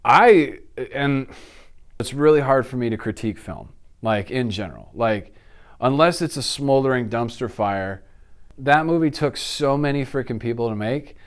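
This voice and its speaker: A man in his thirties chatting casually as an interview guest, telling stories in an animated way and acting out reactions. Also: medium pitch, normal speed. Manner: animated storytelling, acts out reactions and sound effects. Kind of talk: casual chat about films and games. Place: indoor office studio.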